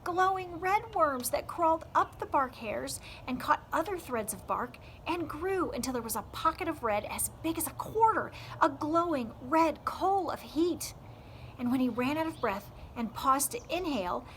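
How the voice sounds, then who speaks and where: A woman with a fairly high voice reads aloud like an audiobook host. Her delivery is deliberate and emphatic, shaped for dramatic storytelling. There is faint noise on the amateur recording. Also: expressive, a bit bright. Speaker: read-aloud host for a youth scouting program. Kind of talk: expressive audiobook-style read-aloud narration.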